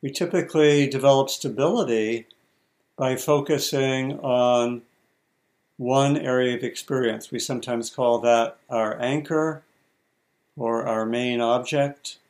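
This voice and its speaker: A man leads a guided meditation as the teacher. He speaks in short, measured phrases at a middling pitch, leaving deliberate pauses as he gives each instruction. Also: steady tone, a little mellow.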